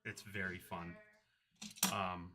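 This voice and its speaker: Man, loud voice, low pitch, flat delivery, casual live-stream chat. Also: takes it slowly, background noise. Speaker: card collector live-streaming pack openings.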